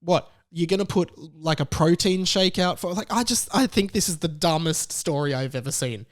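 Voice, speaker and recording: Higher-voiced young Australian man ranting. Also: moderate pace, no room sound, studio quality. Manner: exasperated, emphatic, comically indignant. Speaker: YouTube commentator. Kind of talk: ranting commentary on a news story.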